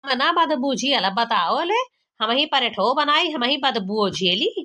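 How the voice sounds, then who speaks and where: A young, easily exasperated village daughter-in-law performed by a woman with a bright, piercing, fairly high voice. She speaks with an Awadhi accent, complaining in dramatic, gossipy cartoon style. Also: animated, a little slow, heavily acted. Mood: scolding, disgusted. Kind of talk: amateur cartoon comedy acting.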